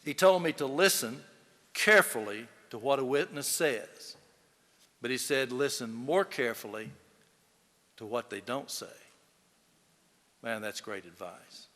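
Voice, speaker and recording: A man's voice: mid-range voice, unhurried, pauses often, a bit bright. A man giving a eulogy, telling folksy anecdotes to an audience in an emphatic storytelling manner. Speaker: eulogy speaker, fellow lawyer. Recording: echoey hall with long reverb.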